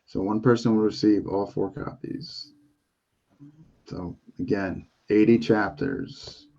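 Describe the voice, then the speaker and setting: A man hosting an online interview show, enthusiastic and quick to repeat words of praise. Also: lowish voice, steady tone, dark tone, highs cut off. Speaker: podcast host.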